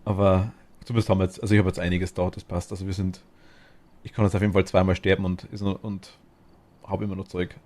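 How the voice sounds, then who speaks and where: A man's voice: deep voice, level tone, fast, a little mellow. A man with a Bavarian accent chatting casually while streaming a game, rambling and murmuring to himself as he goes. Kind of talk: casual gaming chat.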